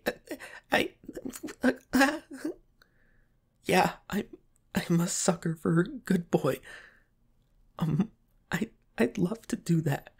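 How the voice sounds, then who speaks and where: A man playing a shy, flustered, submissive young pop star boyfriend in a roleplay audio, with soft breathy, stammering, hesitant speech. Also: high-pitched, full character voice. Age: young adult. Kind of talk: roleplay ASMR audio acting.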